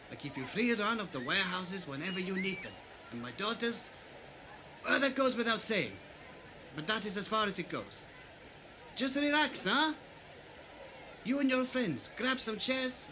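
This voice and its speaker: A jovial baron who brags and banters his way through an audio drama. His voice is very high and piercingly bright, with quirky foreign-style broken phrasing. A faint noise sits behind him. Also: poor audio. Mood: smooth, defensive, then jovial, storytelling. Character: jovial, boastful male baron running a beach paradise.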